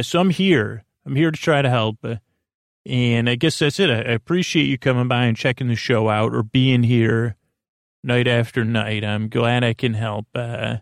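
A man hosting a bedtime podcast, talking straight to the listener in a meandering, halting way that often trails off and doubles back. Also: mid-range voice, no room sound, clean studio sound. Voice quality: gravelly, creaky. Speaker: podcast host. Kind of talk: rambling bedtime podcast monologue, addressed to the listener.

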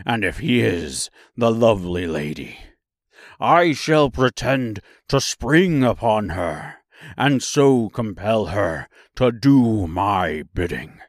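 Storybook lion, acted by a male reader: bold, loud, boastful bluster. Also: fairly low pitch, lively intonation, slow, dry recording, studio quality.

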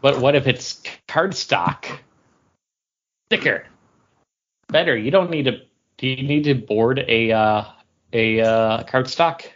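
A man's voice: mid-range voice, takes it slowly, pauses often, a bit bright, highs cut off, a little hiss or hum. A man co-hosting a podcast in casual, off-the-cuff chat. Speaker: podcast co-host.

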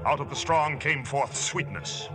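An actor playing an indignant, jealous warrior lord with an intense, formal delivery in 1950s radio drama. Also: male voice, medium pitch, measured pace, natural voice. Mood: irritated. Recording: 1950s radio broadcast with music.

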